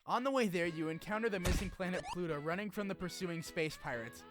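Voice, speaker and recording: A man hosting a video essay, reading a scripted game story recap with informal, animated asides and some swearing. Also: high voice, fast. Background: music under the voice.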